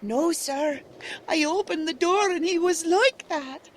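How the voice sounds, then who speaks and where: A woman's voice: very high-pitched, slow pace, slight background noise. A woman playing a shaken witness to a gruesome crime in a radio drama.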